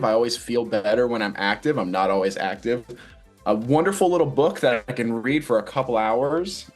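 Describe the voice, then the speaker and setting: A man, an actor, talking casually in an interview with an animated, playful, enthusiastic manner. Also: medium pitch. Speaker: stage actor being interviewed.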